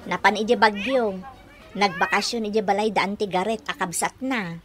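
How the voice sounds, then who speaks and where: A woman's voice: medium pitch, fairly even intonation, moderate pace, over music, close to an everyday voice. A woman playing a sensible, patient elder daughter who coaxes and placates in a radio comedy-drama. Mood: explaining.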